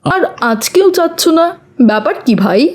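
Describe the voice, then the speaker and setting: A pious, earnest schoolboy in a children's cartoon. The voice is high and swings animatedly, with a preachy manner. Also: female voice, very animated, a little slow. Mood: questioning, insistent. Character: pious, earnest schoolboy who admonishes his friend. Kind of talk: children's moral cartoon dubbing.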